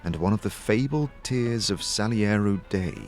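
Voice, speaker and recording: A man's voice: lowish voice, lively intonation, moderate pace, soft background music. A man narrating a fantasy audio drama in a dramatic, storytelling style. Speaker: narrator, game-story performer.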